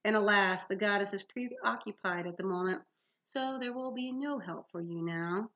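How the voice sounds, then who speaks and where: A woman's voice: medium pitch, normal speed, a bit bright, lightly acted. A woman voicing a gloating male manticore villain from a fantasy storybook, sneering and formal, with a French accent. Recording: dull lo-fi sound.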